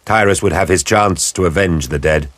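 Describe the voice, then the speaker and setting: A man narrating an audio drama in a measured, grave storytelling style. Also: deep voice, a bit bright, dry recording. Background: music.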